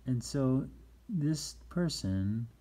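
A man's voice: mid-range voice, animated, extremely slow, warm tone. A man hosting a children's read-aloud, informally reading a picture book with asides and explaining things simply for young listeners.

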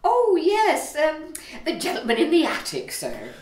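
A woman's voice: sits high, wildly swooping pitch, measured pace, echoey room, exaggerated voice. A woman playing a flustered, sly Victorian London landlady in a farcical audio play. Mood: fluttery, deferential. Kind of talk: theatrical farce acting for audio play.

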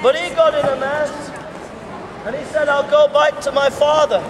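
A middle-aged man preaching to passers-by in a pedestrian street, repeating phrases in an emphatic, slow cadence, recorded from a distance. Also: very high-pitched, steady tone, crowd noise. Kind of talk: open-air street sermon. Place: pedestrian shopping street outdoors. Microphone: far camera. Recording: roomy outdoor sound, distant reverb, clear street noise. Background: town street ambience and passing traffic.

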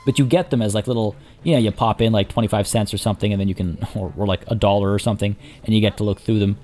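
Young male let's-play host, hoarse, mellow low voice, rambling commentary. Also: fairly even intonation, very fast, slight background noise. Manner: rambling, chatty, many restarts and fillers. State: hoarse, losing his voice. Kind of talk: casual gameplay commentary.

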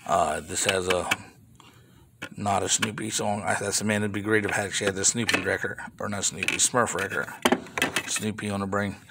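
Guy showing off flea market toy finds on his phone, lowish voice, rambly, bit of hiss behind. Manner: chatty, rambling, mild grumbling asides. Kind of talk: casual off-camera show-and-tell vlog. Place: indoors at a table of toys. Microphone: handheld phone camera.

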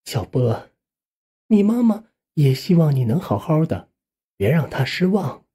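A narrator voicing a caring, worried middle-aged aunt in an audiobook, gently coaxing. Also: mid-range voice, big pitch swings, unhurried, husky. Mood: tender.

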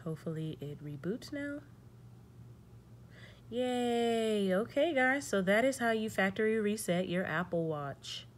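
A woman's voice: mid-range voice, animated, unhurried, a little hiss or hum. A young woman hosting a casual step-by-step tech tutorial, thinking aloud and reading prompts in an informal way.

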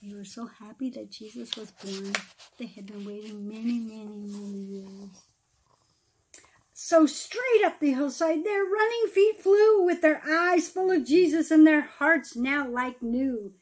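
A middle-aged woman reads a rhyming children's story aloud in an expressive, dramatic manner, pausing for emphasis. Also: high-pitched. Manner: dramatic, emphatic phrasing with pauses, explaining asides to children. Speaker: pastor reading a children's story.

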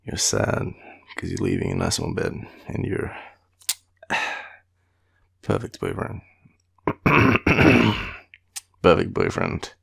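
A tired Australian man speaks in a deep, husky voice full of heavy vocal fry. His pitch swings widely as he teases and rambles in a halting, intimate boyfriend roleplay. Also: huge pitch swings, fairly bright tone. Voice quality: low, gravelly, husky. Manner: sleepy, playful, teasing, halting. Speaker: boyfriend roleplay audio performer.

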